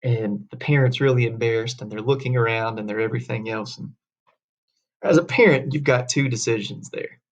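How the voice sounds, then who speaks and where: A man's voice: medium pitch, a little fast. A man teaching a history class in a folksy, conversational way, asking rhetorical questions as he tells stories. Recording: dull lo-fi classroom recording.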